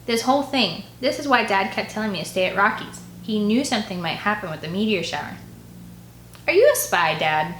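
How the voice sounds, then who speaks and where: A woman narrating an audiobook in an expressive, first-person read-aloud style. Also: mid-range voice, quick pace, hardly any pauses, a little hiss or hum. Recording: echoey, roomy sound.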